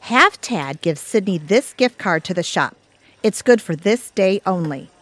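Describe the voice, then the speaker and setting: A woman's voice: mid-range voice, animated, unhurried, a bit bright, no echo. A woman playing a sweet, bookish schemer in a comic audio play, speaking in an earnest, precise way. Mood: determined. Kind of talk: comic audio theatre acting.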